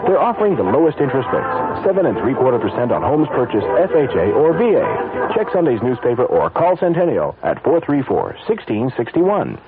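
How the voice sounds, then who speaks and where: A man's voice: mid-range voice, very animated, brisk, hardly any pauses. A man reading a scripted radio commercial as an announcer. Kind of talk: scripted radio advertisement read. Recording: muffled radio, loud music behind. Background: music.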